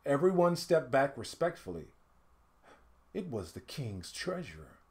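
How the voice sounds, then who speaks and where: Middle-aged man, storytelling read-aloud, expressive, slightly high pitch, very lively intonation. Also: a little slow, gravelly. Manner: expressive, dramatic swells and hushed asides to listeners. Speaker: storyteller reading a picture book. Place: indoor home studio room.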